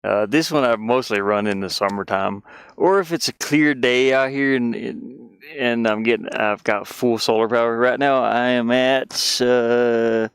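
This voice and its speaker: A man chatting casually on a game livestream, rambling and thinking aloud in a halting way. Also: medium pitch, fairly bright tone, clean tone, no echo, studio quality. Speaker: game streamer and indie game developer.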